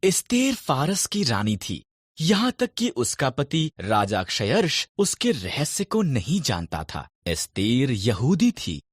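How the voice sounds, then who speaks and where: A narrator telling scripted Bible stories for children in a deliberate storytelling delivery. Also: medium pitch, huge pitch swings, slightly rough, no room sound, studio quality. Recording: background music under the narration. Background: music.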